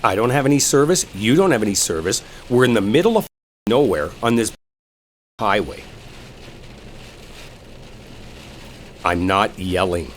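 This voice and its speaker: A man's voice: on the low side, big pitch swings, normal speed. A man playing an irritated, sarcastic coworker arguing as he drives, in a roleplay audio drama. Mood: irritated sarcasm. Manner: irritated, sarcastic, swearing, argumentative. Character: exasperated, sarcastic male coworker arguing while driving. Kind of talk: enemies-to-lovers roleplay audio acting. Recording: rain and car noise behind. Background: rain storm and vehicle ambience.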